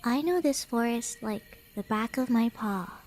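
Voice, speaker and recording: A very high, unhurried female cat beastkin for an ASMR roleplay. She is playful and clingy, teasing her listener with coy turns of phrase and slipping between soft whispers and speech. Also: lively intonation, soft background music. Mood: pleading. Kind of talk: flirty ASMR roleplay acting.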